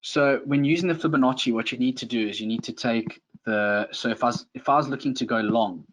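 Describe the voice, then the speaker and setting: A man's voice: mid-range voice, fairly quick, poor audio. A man with a South African accent presenting an informal live tutorial as a webinar instructor, often hesitant and searching for words.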